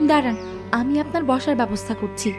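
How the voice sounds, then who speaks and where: Woman playing a high-voiced kind village girl. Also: a little fast, music in the background, lightly acted. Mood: concerned. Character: kind, dutiful, caring young village girl. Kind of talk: cartoon story voice acting.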